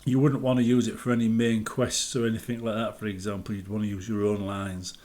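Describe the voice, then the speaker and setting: A man's voice: fairly low pitch, flat delivery, brisk, darkish tone. Man giving casual, explanatory gaming commentary. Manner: explanatory, opinionated, thinking aloud. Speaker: gaming video creator and modder.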